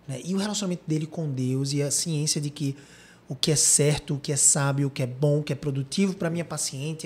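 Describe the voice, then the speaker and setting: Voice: mid-range voice, a little mellow. A podcast host with a Brazilian accent, telling a long example at length and asking rhetorical questions. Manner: rapid storytelling, rhetorical questions. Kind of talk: podcast discussion.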